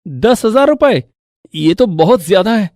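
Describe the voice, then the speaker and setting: A man's voice: high-pitched, very animated, measured pace, darkish tone, heavily acted. A man playing the husband in a squabbling household, in scripted family-story dialogue. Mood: worried.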